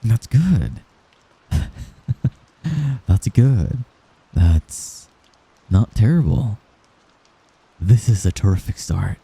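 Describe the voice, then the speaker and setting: A man playing a young mafia heir in an intimate ASMR roleplay, a gentle, hesitant and possessive friend who gives orders apologetically. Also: on the low side, big pitch swings, vocal fry, breathy edge, heavily acted. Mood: tender, encouraging. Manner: soft-spoken, halting, apologetic while giving orders.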